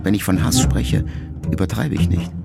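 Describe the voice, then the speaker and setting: A middle-aged actor playing a reflective grown son, a writer, who addresses his dying mother in a measured, confessional literary monologue for a radio play. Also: deep voice, gravelly. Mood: sombre, brooding. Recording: music behind the voice. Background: music.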